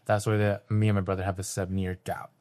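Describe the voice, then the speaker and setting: A young man with a low-pitched voice speaks as a podcast guest. He talks in a relaxed, reflective way and pauses often on um. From Canada. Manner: relaxed, reflective, with frequent ums. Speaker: guest artist. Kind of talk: casual interview talk.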